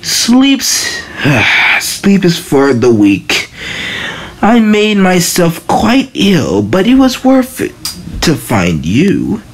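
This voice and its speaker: Woman playing a slow, devoted villain's assistant. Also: fairly low pitch, huge pitch swings, husky, heavily acted. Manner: deferential, earnest. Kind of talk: amateur comic dub.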